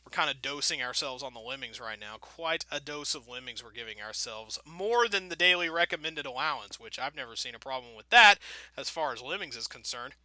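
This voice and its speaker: A man's voice: mid-range voice, expressive, fairly quick, sharp tone, no echo, low-quality recording. A man giving casual, rambling gameplay commentary, thinking aloud in a wry, self-deprecating way with playfully folksy turns of phrase. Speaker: video game let's player.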